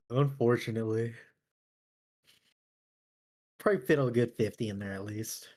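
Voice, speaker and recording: Laid-back man chatting, mid-pitched voice. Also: unhurried, darkish tone. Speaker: player creating a tabletop game character. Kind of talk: casual chat.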